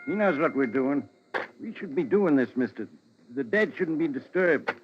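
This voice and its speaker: An actor playing a gruff, grumbling, money-minded cemetery caretaker with folksy rustic talk in a 1940s radio drama. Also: male voice, on the high side, expressive, moderate pace, heavy background noise, lightly acted. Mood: uneasy. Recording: dull vintage 1940s radio recording.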